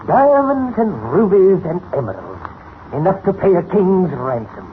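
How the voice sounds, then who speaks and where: An actor playing a suave, menacing master criminal who talks in a silky, condescending, grandiose way in a 1940s radio serial. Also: male voice, sits high, sing-song, a little slow. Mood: boastful. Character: suave, menacing male master criminal, boastful and power-hungry. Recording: old narrow-band radio recording, noisy.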